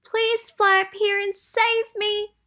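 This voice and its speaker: Woman as a tiny, very high, frightened elephant. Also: little pitch movement, slow pace, bright, forceful tone, full character voice. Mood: pleading, frightened. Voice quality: little voice. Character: small-voiced, frightened male elephant stuck high up.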